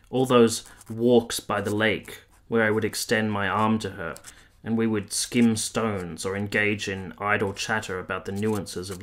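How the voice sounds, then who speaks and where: A man playing an ardent, self-regarding young army officer, narrating a period radio drama in a formal, measured, literary manner. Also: lowish voice, a bit bright, lightly acted. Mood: wry reflection. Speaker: narrator and lead character.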